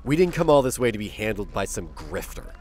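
A man's voice: fairly low pitch, big pitch swings, a little fast, a little hiss or hum. A man playing a brash, sarcastic, sceptical starship skipper in a sci-fi audio drama, wry and incredulous. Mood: incredulous, annoyed.

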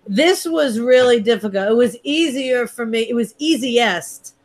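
A middle-aged woman co-hosting a casual movie-ranking chat on a video call. She thinks out loud in long, wandering sentences with restarts. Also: on the high side, lively intonation, measured pace. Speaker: co-host. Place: dim room at home. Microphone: webcam on a video call.

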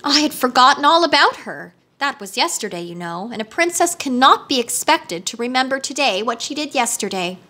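A vain, self-absorbed storybook princess , acted out by a woman. She is imperious and dramatic, with a haughty temper and a high, sharp voice. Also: sing-song, brisk, hardly any pauses, exaggerated voice. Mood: breezy.

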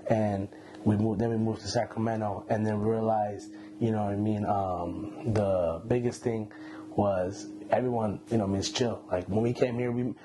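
A man in his twenties from Iran, a guest telling his story in a relaxed, slangy way with many fillers, seated indoors on a lapel mic. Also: fairly low pitch, moderate pace, background noise. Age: 20s. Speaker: interview guest. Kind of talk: casual interview. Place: indoor room, seated on a couch.

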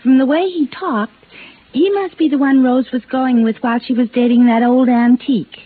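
Female coy young gossip in vintage radio mystery: pert, teasing, slightly high voice, thin tinny recording. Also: fairly even intonation, normal speed. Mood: coy, peeved. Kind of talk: 1950s radio drama acting. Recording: tinny, faintly hissy old radio recording.

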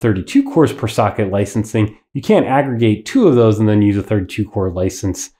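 A man's voice: lowish voice, lively intonation, fairly quick, warm tone. A man in his thirties with a nasal voice from a cold, hosting a tech channel and explaining to camera from a small studio. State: sick with a head cold. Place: small indoor studio with wood backdrop.

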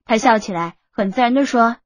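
A woman's voice: a little high, brisk, dry recording. A woman reading a novel aloud as an audiobook narrator in scripted style. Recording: music bed under the voice.

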